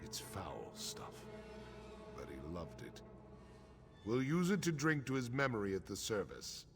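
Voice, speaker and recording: Low-voiced, solemn orc warrior chief. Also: male voice, sing-song, a little slow. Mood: firm, resolute. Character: grave, honorable male orc warrior chief, middle-aged. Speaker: quest-giving game character. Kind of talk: scripted video game dialogue. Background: game music.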